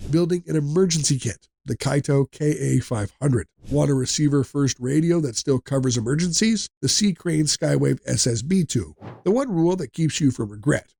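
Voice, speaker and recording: A man's voice: medium pitch, creaky, a little mellow, breathy edge, dry recording, studio quality. A man narrating a scripted product buyer's guide in a direct, plain-spoken, instructive way.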